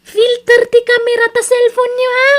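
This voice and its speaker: An actress playing a cheeky young grandchild with an Ilocano accent, speaking frankly in a comedic radio-style drama. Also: female voice, very high-pitched, completely flat delivery, moderate pace, fairly bright tone. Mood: curious.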